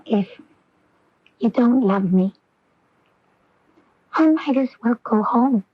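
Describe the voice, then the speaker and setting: A woman's voice: on the high side, lively intonation, slow pace, dry recording. A woman playing an earnest, lovelorn young woman in a 1980s radio drama, intense, vulnerable and pleading. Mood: hurt. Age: young adult. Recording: dull lo-fi 1980s AM radio recording.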